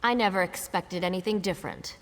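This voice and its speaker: An actress playing a steadfast, stoic adventurer in a fantasy video game. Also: female voice, fairly low pitch, a little fast, fairly bright tone, lightly acted. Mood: resolute. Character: steadfast, stoic female fighter for the cause. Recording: long hall reverb, faint noise.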